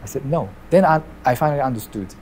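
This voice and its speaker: Middle-aged Japanese-accented imam, interview, measured and searching for words, medium pitch. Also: male voice, expressive, darkish tone, slight background noise. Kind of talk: interview telling his life story.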